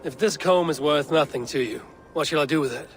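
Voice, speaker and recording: An actor playing a steadfast, curious Viking raider in a video game, speaking in a measured, earnest and wry way. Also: male voice, a little high, faint music behind, natural voice. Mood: wry, soft.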